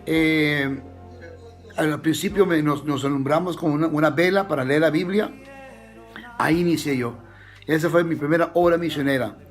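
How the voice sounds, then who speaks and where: Pastor, US-born man, telling his conversion story; earnest, restarts often, light music bed. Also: a little high. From United States. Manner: earnest, halting, many restarts and fillers. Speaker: missionary pastor. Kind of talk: testimony in an interview.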